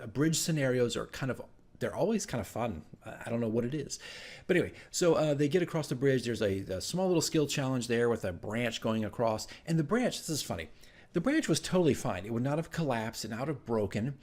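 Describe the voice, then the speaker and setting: A man telling the story of his tabletop game session as dungeon master, in a casual, animated, rambling vlog style. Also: mid-range voice. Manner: animated, rambling asides with acted-out exclamations.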